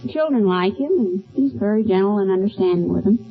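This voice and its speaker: A woman's voice: mid-range voice, lively intonation, fairly quick. A young woman giving short answers as a contestant on a 1940s radio quiz. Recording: muffled 1940s radio recording, faint music.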